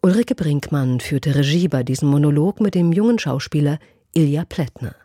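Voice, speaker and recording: A woman's voice: deep voice, moderate pace, warm tone, dry recording. A woman presenting radio plays as an announcer, reading scripted credits and introductions in a studio. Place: dry broadcast studio.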